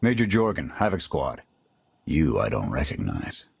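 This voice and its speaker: An actor playing a gruff veteran male soldier who leads a squad, blunt and clipped, in a video game. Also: wildly swooping pitch, normal speed, darkish tone, no room sound, exaggerated voice. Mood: steady. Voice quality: deep gravelly voice. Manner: blunt, clipped military orders. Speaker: squad leader.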